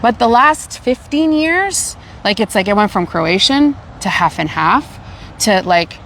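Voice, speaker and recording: Canadian woman in lively casual chat. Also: on the high side, measured pace, slight background noise. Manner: animated, repeats herself when searching for words. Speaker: podcast host.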